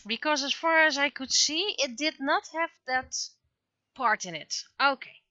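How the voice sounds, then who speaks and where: A woman's voice: sits high, measured pace, bright, forceful tone, highs cut off. A woman livestreamer chatting casually while she draws, often muttering to herself as she works. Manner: mutters to self while working. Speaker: livestreamer and webcomic artist.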